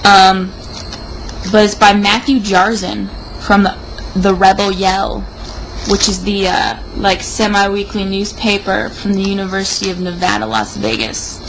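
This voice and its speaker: A woman's voice: mid-range voice, measured pace. A young woman in a casual, rambling monologue, sounding tipsy and sardonic. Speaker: vlogger. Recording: noticeable background noise.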